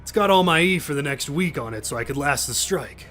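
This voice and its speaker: A man's voice: on the high side, animated, brisk, a bit bright. A man voicing a small, sly, grumbly alien factory worker in an audio drama. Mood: pleading.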